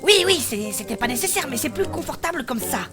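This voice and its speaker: A high-pitched, indignant warrior woman, acted by a woman in a fantasy comedy audio drama. She is outspoken and emphatic, proud of her armour and her femininity, and speaks over a clear music bed. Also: expressive, quick pace. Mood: proud, explaining. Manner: argumentative, emphatic, coarse-tongued.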